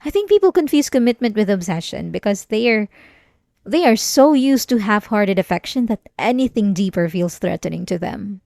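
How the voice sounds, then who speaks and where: A woman playing an obsessive, manipulative therapy patient in an ASMR roleplay, speaking softly and politely with an unsettling, menacing calm. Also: medium pitch, moderate pace, gravelly, no room sound, clean studio sound. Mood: calm, defensive.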